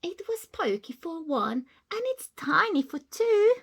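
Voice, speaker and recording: A woman's voice: extremely high pitch, big pitch swings, a little slow, voice pushed up, heavily acted. A woman voicing a distressed little old lady in a storybook, pleading and exasperated in a theatrical way. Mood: exasperated, wailing.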